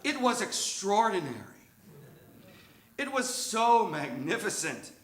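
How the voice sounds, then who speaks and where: A man reading a memoir story aloud at a live show in a theatrical, emphatic, drawn-out manner, recorded in a roomy stage space. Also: sits high, very animated, fairly bright tone.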